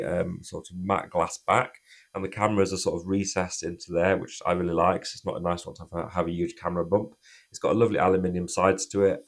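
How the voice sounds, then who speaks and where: A man reviews a phone while nursing a cold, his voice croaky and gravelly. He talks fast and casually in a very low, flat tone, often restarting his sentences. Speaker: tech reviewer.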